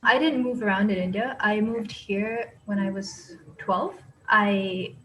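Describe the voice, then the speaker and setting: A young woman in her twenties talks through a relaxed interview in a middle-pitched voice, peppering her answers with "like" and "kind of". Her answers come across as easygoing and chatty. A faint hiss of noise sits under the recording. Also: measured pace, poor audio. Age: 20s. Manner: chatty, frequent "like" and "kind of", laughs easily. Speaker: interviewee, architecture graduate. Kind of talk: casual personal interview.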